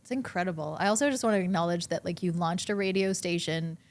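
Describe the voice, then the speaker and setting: A woman's voice: on the low side, fast. Woman interviewer, friendly chat style, laughs often. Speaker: podcast host.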